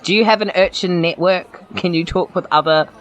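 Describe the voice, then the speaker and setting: A man's voice: high-pitched, a little fast, fairly bright tone, low-quality recording. Dry, blunt New man. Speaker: tabletop game player. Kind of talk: casual improvised banter.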